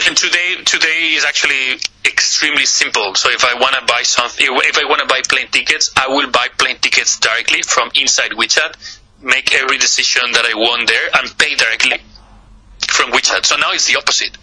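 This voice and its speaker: A man from Spain with a Spanish accent, a guest answering interview questions over a voice call app, often halting and repeating words. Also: medium pitch, pushed and bright, slightly rough, rough recording. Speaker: guest, accelerator partner.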